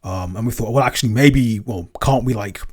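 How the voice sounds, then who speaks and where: A man's voice: medium pitch, fairly quick. A man, one of a podcast's creators, chatting casually and unscripted as he answers questions, hesitant and often rephrasing mid-sentence. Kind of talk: casual unscripted behind-the-scenes chat.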